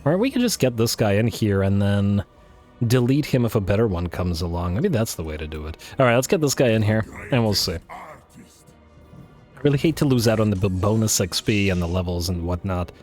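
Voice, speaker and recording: A man thinking aloud while playing a strategy game, full of fillers and restarts, his voice worn and going hoarse. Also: lowish voice, expressive, normal speed, darkish tone, soft background music. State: losing his voice. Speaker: let's play host. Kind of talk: casual strategy game commentary.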